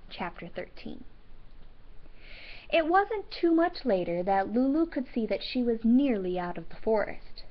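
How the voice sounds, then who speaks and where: A woman teacher reading a storybook aloud and commenting for students, with deliberate, emphatic phrasing and pauses. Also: fairly high pitch, big pitch swings. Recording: dull lo-fi sound.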